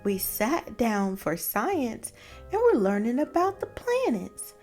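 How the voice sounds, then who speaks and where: A woman plays an earnest, anxious young zebra boy from a storybook. The voice swings expressively in pitch and moves at an unhurried pace, with music playing behind it. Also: big pitch swings, darkish tone. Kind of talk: storybook character voice.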